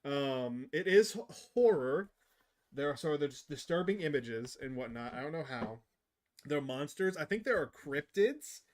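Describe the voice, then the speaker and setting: Higher-pitched man chatting on a gaming livestream. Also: animated, moderate pace, slight background noise. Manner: enthusiastic, chatty with viewers. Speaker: livestream host. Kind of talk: casual gaming livestream chat. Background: game music.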